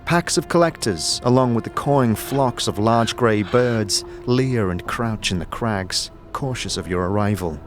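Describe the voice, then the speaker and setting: A man's voice: on the low side, measured pace, few pauses. A man narrating a fantasy audio drama in the second person, with dramatic, descriptive storytelling and music behind him. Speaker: narrator and game master.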